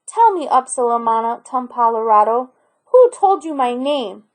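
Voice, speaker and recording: A woman's voice: fairly high pitch, expressive, moderate pace, close to an everyday voice. A woman voicing a spirited, inquisitive old grandmother in a storybook read aloud.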